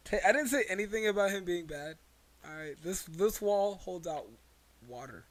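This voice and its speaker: A man's voice: high-pitched, expressive, normal speed, low-quality recording. A man chatting casually on a comedy podcast in slangy bro-talk, often repeating his phrases. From United States.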